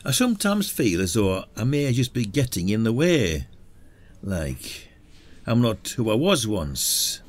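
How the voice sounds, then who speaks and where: A man's voice: fairly low pitch, sing-song, a little slow. A British man acting a weary, self-doubting retired detective in an audio drama. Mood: troubled, confiding. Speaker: actor in a podcast drama.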